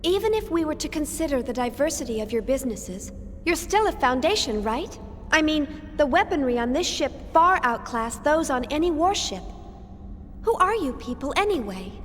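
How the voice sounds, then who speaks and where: A woman voicing a curious, excitable young woman in a sci-fi video game. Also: high-pitched, normal speed, in a large hall, slight background noise. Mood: probing.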